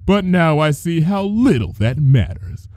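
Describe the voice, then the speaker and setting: A wounded, wrathful pony princess, performed by a man for a dramatic fan fiction reading. The voice is lifted above a man's natural pitch and swings wildly. The delivery is grand and accusing, in a very dry recording. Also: big pitch swings, measured pace, darkish tone, dry recording. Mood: cold, scornful.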